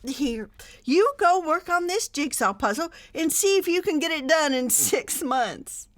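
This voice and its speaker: Woman playing a high-pitched bossy radio-comedy wife. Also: animated, unhurried, no echo, clean studio sound. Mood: firm, bossy. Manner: emphatic, theatrical. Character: Sandy, exasperated, bossy wife in a domestic comedy. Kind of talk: old-time radio comedy acting.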